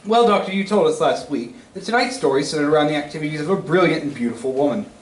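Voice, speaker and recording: A man's voice: fairly high pitch, animated, fast talker, lightly acted. A man playing a polite, eager visitor hosting an old-time radio drama, courteous and attentive. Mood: courteous, curious. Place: stage reader's theatre performance. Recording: echoey stage, distant microphone.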